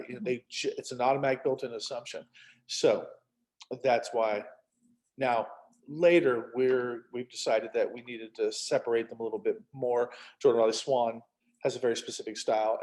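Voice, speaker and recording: Male author guest, medium pitch, casual anecdotal chat with a joking, self-deprecating streak. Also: a little mellow.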